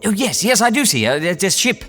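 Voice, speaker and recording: A man's voice: sits high, very animated, brisk, a bit bright, in a large hall, soft background music. A man acting a fussy, nervous husband in an audio drama. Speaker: lead character, the explorer husband.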